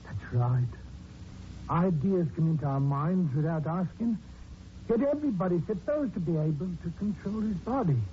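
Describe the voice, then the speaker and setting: An actor playing a big, strong police sergeant who is secretly a mad strangler, halting and menacing, in 1940s radio drama style. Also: male voice, sits high, animated. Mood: brooding, musing. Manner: halting, brooding, turning menacing. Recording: dull noisy 1940s radio recording.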